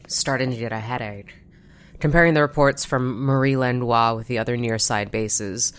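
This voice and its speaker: A woman's voice: a bass voice, normal speed, clean tone. A woman acting an anxious, overwhelmed woman in a sci-fi audio drama, hesitant and stammering over repeated words. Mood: weary, wry.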